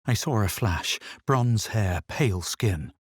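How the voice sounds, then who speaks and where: A self-assured male adventurer for a fantasy game. His voice is lowish and husky, with a grave, theatrical haughtiness. The sound is dry and studio-clean. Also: lively intonation, takes it slowly, no room sound, exaggerated voice. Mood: brooding, grave. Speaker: player character voice actor. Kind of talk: dramatic scripted fantasy game lines.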